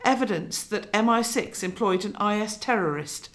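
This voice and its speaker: An actress playing a resolute investigative TV journalist in a radio drama, presenting in a measured, emphatic way. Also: female voice, mid-range voice, animated, natural voice. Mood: resolute, serious. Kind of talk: scripted documentary presenting within radio drama.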